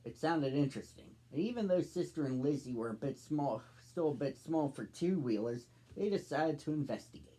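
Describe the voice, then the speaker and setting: A man's voice: a little high, expressive, normal speed, few pauses, warm tone, low-quality recording. A man in his thirties hosting and reading a storybook aloud for children. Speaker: storybook reader, host.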